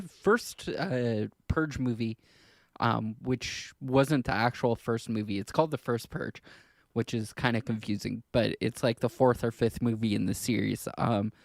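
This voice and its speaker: A man chatting casually as a podcast co-host, halting and rambling, often repeating words as he works toward his point. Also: medium pitch, dry recording.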